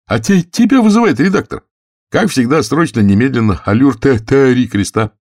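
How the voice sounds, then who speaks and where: Casting: male reader as sardonic wartime newspaperman, heavy stammer on consonants, raised pitch, clipped ironic bursts, audiobook drama. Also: expressive, moderate pace, a little mellow, dry recording, clean studio sound, lightly acted. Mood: mock pompous. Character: stammering wry bespectacled male war correspondent, mocking.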